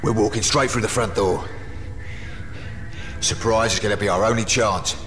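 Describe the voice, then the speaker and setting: An actor playing a hardened, cynical special-forces soldier in a video game, terse and tough. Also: male voice, on the low side, fairly even intonation, moderate pace, soft background music, natural voice. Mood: terse, wary. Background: loud game score.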